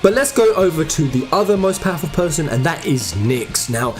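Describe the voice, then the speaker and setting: Animated man in fan commentary over music. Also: fairly high pitch, fairly quick. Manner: emphatic, addresses viewers directly. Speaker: host of a video game lore channel. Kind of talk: informal fan theory commentary.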